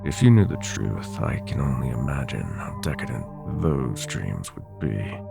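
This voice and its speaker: Casting: predatory, seductive dark god for roleplay audio, extremely low and unhurried, teasing, music underneath. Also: male voice, very animated, gravelly, exaggerated voice. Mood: gloating. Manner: slow, theatrical, teasing drawl. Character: sinister seductive nightmare god, predatory, gloating, possessive male. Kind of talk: roleplay audio drama, ASMR.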